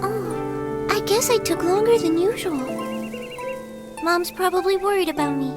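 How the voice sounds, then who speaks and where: A gentle young girl from a fantasy video game. Her voice is very high, soft and earnest, with a polite, downhearted air. Music plays clearly behind her. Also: unhurried. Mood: weary, wistful. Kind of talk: video game cutscene voice acting.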